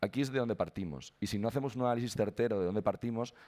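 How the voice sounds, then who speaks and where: A young man with a Spanish accent giving a conference talk, his voice hoarse after an illness, hesitant with frequent restarts. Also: lowish voice, fast, a little mellow. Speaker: conference speaker.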